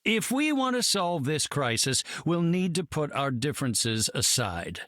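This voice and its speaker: An actor playing an earnest, self-assured scientist hero in a video game, articulate and formal. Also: male voice, on the high side, big pitch swings, measured pace, fairly bright tone, dry recording, clean studio sound. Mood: guarded. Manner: articulate, formal, matter-of-fact.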